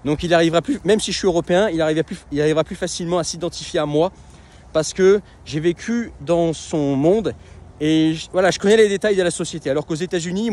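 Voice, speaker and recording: Man vlogging outdoors with a slightly high voice. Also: darkish tone. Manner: conversational, many fillers and restarts. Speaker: web entrepreneur and coach. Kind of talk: casual vlog monologue.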